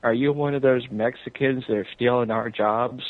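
A man's voice: mid-range voice, steady tone, normal speed, no room sound. A man on a tinny phone-like line, asking casual, provocative prank-call questions. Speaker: prank caller.

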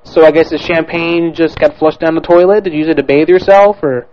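A man's voice: on the high side, fairly even intonation, brisk, a little hiss or hum. A man hosting a radio show, making a prank call and grilling the caller with incredulous, mocking questions. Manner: needling, incredulous, repeats accusations. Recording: muffled lo-fi radio audio.

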